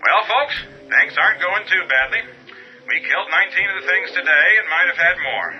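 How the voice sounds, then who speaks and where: A folksy blunt county sheriff. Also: male voice, high-pitched, lively intonation, fairly quick. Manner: folksy, drawling, matter-of-fact. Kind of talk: radio drama acting. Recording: dull broadcast-style audio with music.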